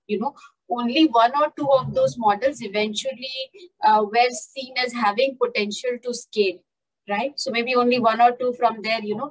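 A woman's voice: fairly high pitch, poor audio. A woman in her thirties with an Indian English accent, speaking fluently on a video-call panel, with frequent "you know" fillers. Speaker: panelist, company IT leader. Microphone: webcam on a video call.

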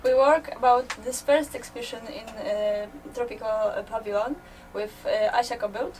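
A young woman with a Polish accent, searching haltingly for English words in a casual interview. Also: fairly high pitch, steady tone, darkish tone. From Poland. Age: young adult. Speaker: artist and gallery co-runner being interviewed. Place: inside a small old caravan. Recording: slightly distant mic, faint ambient noise.

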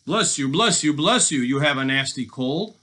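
Man playing a jeering, forceful storybook robber. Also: medium pitch, normal speed, voice pushed up, natural voice. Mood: mocking. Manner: mock-sweet taunting, emphatic. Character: jeering boastful male robber villain, gloating and bullying. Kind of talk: children's storybook voice acting.

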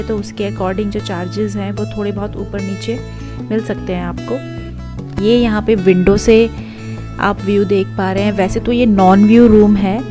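A young woman, a travel vlogger, giving a chatty hotel tour voice-over and switching between Hindi and English phrases. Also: mid-range voice.

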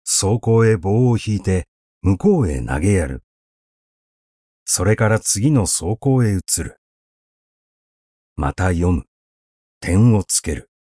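Deep, mellow male literary narrator. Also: sing-song, slow pace, pauses often, no room sound, studio quality. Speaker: audiobook narrator. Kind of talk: literary recitation, scripted narration.

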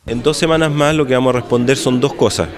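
A man in his forties, an engineering consultant, lays out steps methodically indoors. His voice sits at an ordinary middle pitch for a man. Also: quick pace. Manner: explanatory, methodical. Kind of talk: press statement. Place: indoors in a wood-paneled room. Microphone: cluster of handheld microphones.

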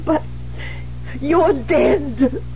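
Halting, sophisticated, frightened wife. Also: female voice, high-pitched, lively intonation, a little hiss or hum, heavily acted. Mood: terrified. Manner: halting, stammering, theatrical. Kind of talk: 1970s radio drama acting. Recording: muffled old radio broadcast, music.

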